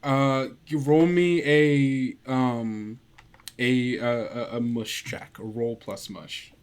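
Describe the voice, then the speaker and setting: Male game master, mid-pitched, improvised scene descriptions with halting, thinking-aloud phrasing. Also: steady tone, clean tone. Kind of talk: improvised tabletop game narration.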